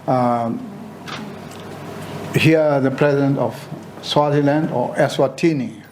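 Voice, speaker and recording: A man chairing a press conference, speaking in a halting, deliberate way with pauses mid-sentence. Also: medium pitch, fairly even intonation, a little mellow. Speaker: football association official chairing a press conference. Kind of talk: informal press conference address. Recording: faint background noise.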